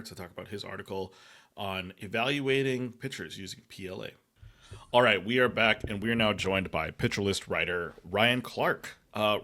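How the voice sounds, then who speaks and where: Bright-voiced male podcast host. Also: on the low side. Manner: halting, many fillers and restarts. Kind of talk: casual analytic chat.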